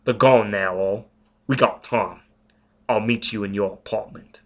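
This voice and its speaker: A man doing a gruff, menacing brute. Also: fairly low pitch, fairly even intonation, measured pace. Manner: gruff, blunt, broken grammar. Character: hulking, uneducated, menacing young man.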